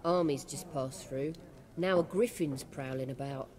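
Plain-spoken village innkeeper. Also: female voice, extremely low pitch, a little slow, darkish tone. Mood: wary, obliging. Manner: folksy, earnest. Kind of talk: video game dialogue acting.

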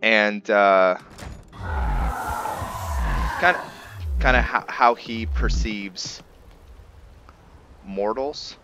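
A man giving casual video game commentary as a roleplay host, halting as he thinks aloud. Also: lowish voice, muffled recording. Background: game music.

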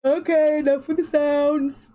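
A woman's voice: high voice, flat delivery, measured pace, warm tone. A woman streaming a game and chatting casually with friends, playful and teasing, laughing often. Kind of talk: casual gaming chat with friends.

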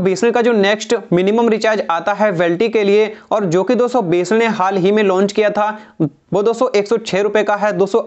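A man's voice: sits high, dull audio. A young man talking to the camera about phone recharge plans, often calling his viewers "friends", with a clip-on mic in a small indoor room. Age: young adult. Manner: repetitive, keeps saying "friends", steady explanatory delivery. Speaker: tech channel host. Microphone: clip-on lapel mic.

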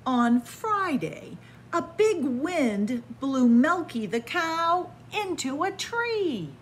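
A middle-aged woman reading a picture book aloud to children in a library, playful and animated. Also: sits high, very slow, slight background noise. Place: library, in front of bookshelves. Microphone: camera at close range.

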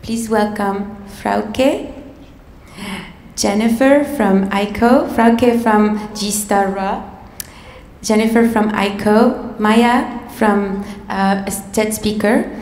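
A woman hosting an event, reading a measured scripted introduction from a laptop at a podium with a handheld mic. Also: medium pitch, steady tone, darkish tone. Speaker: event host. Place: large auditorium stage. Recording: echoey hall with long reverb.

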